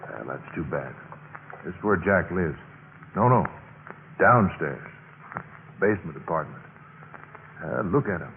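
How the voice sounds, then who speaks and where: An actor playing a wry, easygoing young American college student in a 1950s radio drama. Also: male voice, deep voice, animated, measured pace, pauses often. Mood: wry, coaxing. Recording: muffled, reverberant, hissy old radio recording.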